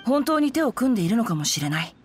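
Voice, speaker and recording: A refined young swordsman, fairly high and very expressive in pitch. He speaks politely and composedly, with a teasing, chivalrous streak, as in an anime-style game dub, over clear background ambience. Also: fast, a little hiss or hum. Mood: composed, earnest. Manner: polite, composed, literary phrasing.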